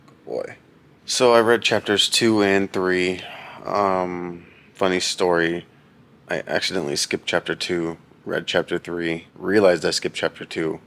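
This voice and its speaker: A young man with a very low voice, chatting casually and deadpan to a camera at home, rambling and poking fun at himself. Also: gravelly. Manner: deadpan, rambling and self-mocking. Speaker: husband reading a book on camera. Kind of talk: casual vlog chat.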